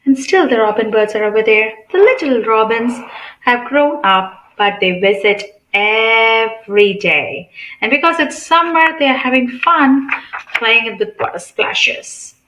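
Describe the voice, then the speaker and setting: A woman's voice: a little high, animated, a little slow, a bit bright, reverberant, low-quality recording. A woman reading a picture book aloud to children in a teacherly way, asking questions and explaining simply. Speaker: read-aloud channel host.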